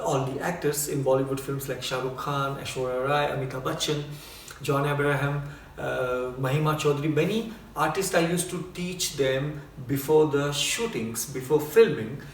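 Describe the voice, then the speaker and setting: A middle-aged man with an Indian accent talks at a slow, unhurried pace, often pausing on fillers as he tells his story. He sits some distance from the mic, so the voice carries the echo of the room. A faint hiss stays under the whole recording. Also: a little high. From India (Bombay). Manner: unhurried, with frequent uh fillers and restarts. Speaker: choreographer and dancer guest. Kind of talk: relaxed personal storytelling.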